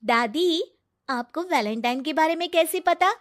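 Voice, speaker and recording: Woman voicing a high, sweet, playful young wife. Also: lively intonation, a little slow. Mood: surprised. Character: cheerful affectionate young wife and granddaughter-in-law.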